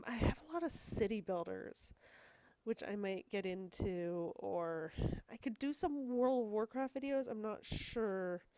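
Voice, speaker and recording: A woman's voice: mid-range voice, a little mellow, dry recording. Stuffy-nosed woman rambling over gameplay. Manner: chatty, self-deprecating, apologetic asides. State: stuffed up from a cold, a bit wheezy. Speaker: gaming video host. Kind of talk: casual rambling gameplay commentary.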